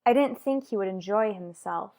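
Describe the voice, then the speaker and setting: A woman acts an unhappy young married woman in a radio drama. Her voice sits at a middle pitch, and she speaks with a worried, defensive edge toward her family. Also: moderate pace, mellow, natural voice. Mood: hurt, defensive. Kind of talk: radio soap drama acting.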